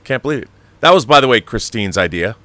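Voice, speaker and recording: A man's voice: mid-range voice, animated, fast, pushed and bright, no room sound. A man hosting a casual livestream chat, talking in an effusive, enthusiastic way about his projects. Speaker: show host and producer.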